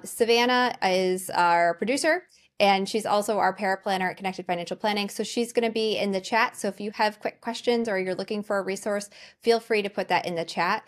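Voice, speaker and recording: A middle-aged American woman presenting as the host of a webinar. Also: mid-range voice. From United States. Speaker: webinar host, financial planner.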